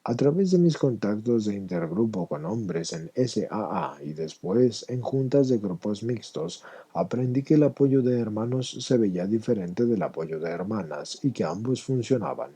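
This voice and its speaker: A man's voice: fairly low pitch, expressive, normal speed, warm tone, slightly rough. A man reading a personal testimony aloud as scripted narration.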